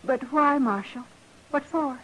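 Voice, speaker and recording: High-voiced western ranch wife. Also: female voice, a little slow, fairly bright tone, a little hiss or hum, poor audio. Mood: insistent. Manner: folksy, controlled, insistent. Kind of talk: old-time radio western acting.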